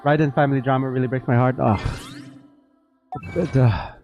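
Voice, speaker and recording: A man's voice: medium pitch, fairly even intonation, normal speed, lo-fi sound, faint music behind. A man doing casual live game reaction commentary, chatting playfully with his viewers. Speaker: game streamer.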